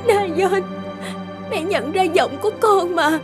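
A fragile young mother full of grief and guilt in a cartoon drama. Her voice is extremely high, plaintive and emotional, over clear music. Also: slow. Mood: tearful, overwhelmed. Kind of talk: melodramatic cartoon drama acting. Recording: studio voice under loud music.

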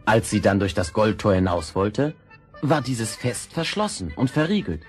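A man's voice: on the low side, big pitch swings, normal speed, darkish tone, soft background music. A man narrating fairy tales for children as a scripted storyteller.

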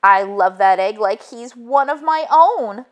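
A woman voicing a flustered, tender-hearted female chicken from a storybook, excitable and halting. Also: fairly high pitch, voice pushed up.

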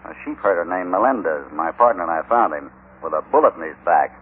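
An actor playing a calm, commanding cowboy hero in a radio western, with an assured American voice. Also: male voice, deep voice, fast talker, husky. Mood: reassuring. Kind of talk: vintage radio western acting. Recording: tinny, noisy old radio recording.

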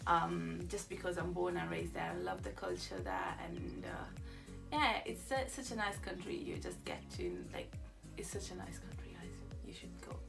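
Young woman, from Italy, chatty and rambling, gravelly, distant camera mic, music behind. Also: on the low side, very animated, normal speed. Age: young adult. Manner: chatty, rambling, many fillers and restarts. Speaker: YouTuber. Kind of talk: casual get-to-know-me chat. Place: small indoor room, sitting in a corner.

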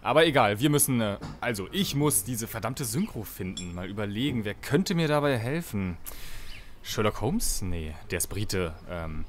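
A man's voice: on the low side, sing-song, normal speed, slight background noise. A man playing an exasperated, scatterbrained hero who grumbles colloquially in a parody radio play. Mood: grumbling, pondering. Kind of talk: parody audio drama acting.

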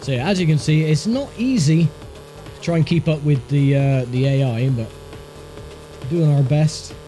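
A man's voice: on the high side, moderate pace, warm tone. A man with a congested, stuffy voice giving dry, wry commentary on a racing game he is playing. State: congested from a sinus infection. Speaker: gaming YouTuber. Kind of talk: casual gameplay commentary. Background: game music.

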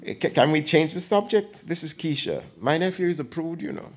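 A man's voice: a little high, expressive, normal speed, voice pushed up. A man reading an audiobook, voicing an elderly aunt who teases and gossips with theatrical flair. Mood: teasing. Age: older. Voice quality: teasing, theatrical, lapsing into dialect.

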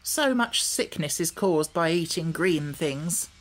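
A man's voice: a little high, lively intonation, slow, a bit bright, low-quality recording. A man voicing a fussy, anxious, questioning talking hen for a storybook. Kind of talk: audiobook character voice.

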